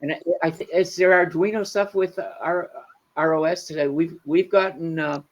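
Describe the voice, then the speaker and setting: A man in his seventies talking casually in a meeting, halting as he looks for words. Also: on the high side, steady tone, lo-fi sound. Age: 70s.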